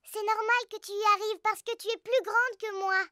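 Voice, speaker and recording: Little girl piglet, children's cartoon: very high, eager, expressive, dry studio sound. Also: moderate pace, dry recording. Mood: earnest. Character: chatty little girl pig, easily discouraged, eager.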